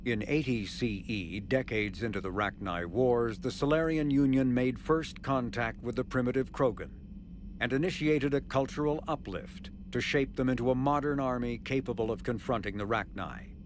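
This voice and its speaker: A man's voice: mid-range voice, normal speed, a little hiss or hum, natural voice. A man playing a formal encyclopedia narrator, reading scripted lore for a science-fiction game. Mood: formal, informative.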